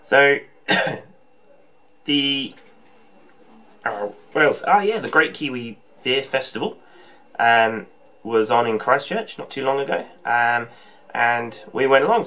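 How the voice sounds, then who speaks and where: A man's voice: medium pitch, bright, forceful tone. A man in his thirties chatting casually to camera in a small room, rambling with plenty of ums and restarts. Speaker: homebrew vlogger. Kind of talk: casual vlog chat. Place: small indoor room with shelves. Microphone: camera on desk, close framing.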